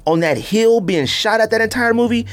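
An American man hosting a podcast, chatting casually through a countdown in an animated, exclamatory, slangy way. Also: high voice, normal speed. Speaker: podcast host.

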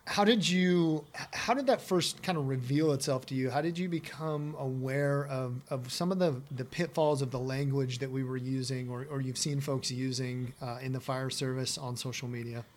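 A man hosting an interview, asking questions in a halting way with stumbles. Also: medium pitch, slight background noise. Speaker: podcast host.

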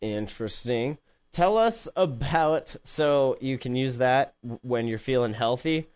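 A man hosting a casual tech podcast, joking around and laughing often between quick remarks. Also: mid-range voice, expressive, a little mellow, clean tone. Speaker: podcast host.

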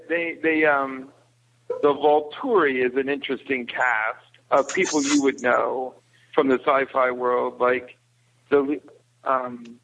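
A man's voice: mid-range voice, a bit bright, slight background noise. A man chatting casually as a podcast guest, hesitant and searching for words. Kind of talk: casual chat, film review. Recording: tinny phone-like line.

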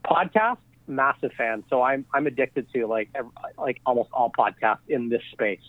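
A man's voice: mid-range voice, moderate pace, no room sound. A man guest on a podcast interview, talking casually over the phone with lots of fillers and restarts. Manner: rambling, many fillers and restarts. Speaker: podcast guest, real estate investor. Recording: tinny phone line.

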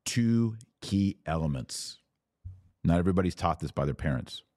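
A man with a very low, relaxed voice speaks on a close, dry microphone. He hosts an informal livestream, giving earnest advice. He urges listeners along and poses questions to answer himself. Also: normal speed, dry recording. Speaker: podcast host answering live viewers.

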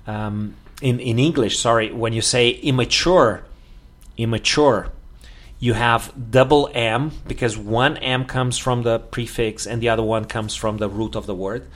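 Slow-talking man teaching English words. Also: mid-range voice, steady tone, a bit bright. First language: Portuguese. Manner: unhurried explaining, stretches example words, many fillers. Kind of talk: solo podcast lesson.

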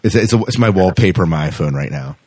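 A man's voice: low-pitched, animated, quick pace, darkish tone, breathy edge. A man hosting a podcast interview in a casual, chatty style.

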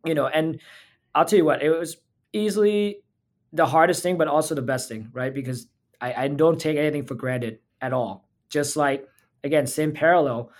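A man's voice: on the high side, moderate pace. A man from Thailand, a physical therapist talking as a podcast guest in an earnest, reflective way. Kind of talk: casual interview talk.

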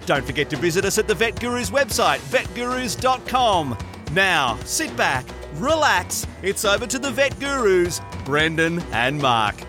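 Male announcer, high lively voice, scripted intro, music playing behind. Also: a little slow. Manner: upbeat, showy announcer delivery. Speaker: show announcer.

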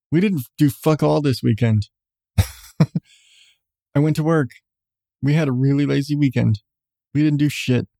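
A middle-aged man hosting his podcast with casual, profane rambling and frequent laughs in a calm voice. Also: mid-range voice, no room sound, studio quality. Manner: profane, candid, laughs often. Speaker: podcast host. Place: seated at home on a creaky chair.

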